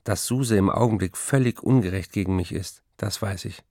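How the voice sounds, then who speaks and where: A man's voice: deep voice, normal speed, a little mellow, no echo, studio quality. An actor playing an embittered, weary male writer who reads out his letters in a radio drama. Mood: bitter.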